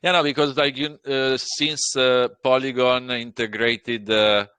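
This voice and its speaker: Man, community host, bright tone, dry close sound, polite, rambling. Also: medium pitch, little pitch movement, measured pace, clear, resonant tone, no room sound. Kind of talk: moderating an online AMA.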